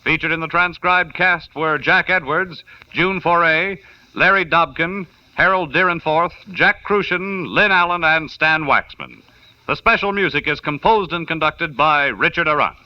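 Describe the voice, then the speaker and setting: A man's voice: a little high, normal speed. An American man working as a 1950s radio announcer, reading scripted copy in a polished, emphatic, salesmanlike way. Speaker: radio announcer and sponsor pitchman. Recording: muffled 1950 radio broadcast.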